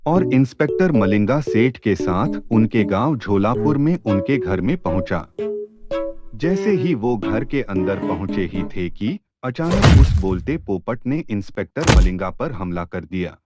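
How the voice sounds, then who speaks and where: A man's voice: on the low side, sing-song, measured pace, muffled recording, loud music behind the voice. A man narrating a scripted cartoon story as a dramatic storyteller.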